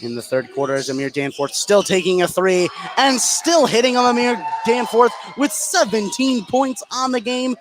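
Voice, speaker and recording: A man's voice: high-pitched, expressive, normal speed, few pauses. A man doing live play-by-play basketball commentary as a sports announcer. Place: courtside in an indoor high school gym. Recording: noisy live broadcast from a gym. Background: loud gym ambience.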